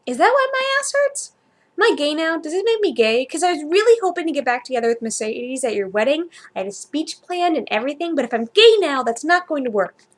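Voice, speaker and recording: An earnest, befuddled young man with a hangover as a woman acts him out in a story reading. The voice sits on the high side and sounds openly confused. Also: very animated, brisk. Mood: panicked.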